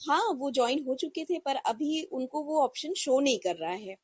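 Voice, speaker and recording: A woman's voice: sits high, lively intonation, normal speed, no room sound. A woman co-hosting a live online show in casual conversation. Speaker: co-host.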